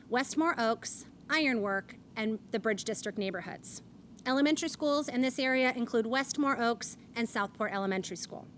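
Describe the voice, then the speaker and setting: A woman's voice: on the high side, a bit bright, a little hiss or hum. A woman, moderating a candidates forum on stage and reading rules and statements aloud. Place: event stage.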